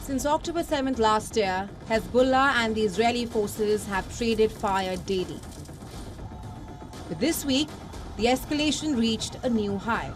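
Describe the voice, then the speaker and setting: A woman reading a scripted news report in a formal, measured delivery. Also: fairly high pitch. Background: music bed.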